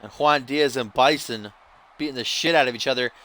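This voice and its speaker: A young man giving profane, excitable play-by-play of a video game wrestling match, grumbling one moment and yelling the next. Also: medium pitch, fairly quick. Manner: swings from dry grumbling to yelling big moves; profane, teasing.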